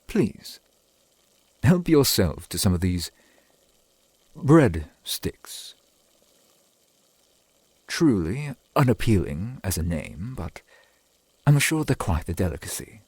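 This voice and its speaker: A man acts out a centuries-old vampire boyfriend for an ASMR roleplay, doting and formal. His low voice is husky and breathy, with unhurried, lilting phrases that swing widely in pitch. He speaks with old-world courtesy. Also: very animated, dry recording, exaggerated voice. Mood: doting, attentive. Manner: formal, archaic, gently attentive phrasing.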